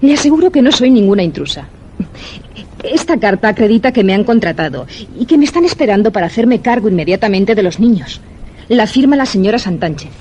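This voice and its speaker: Woman acting a lively, polite young governess. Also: mid-range voice, fairly quick, a little hiss or hum, close to an everyday voice. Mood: polite, insistent. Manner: polite, expressive, theatrical. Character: polite young governess, composed but insistent. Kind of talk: Spanish-language suspense radio drama acting.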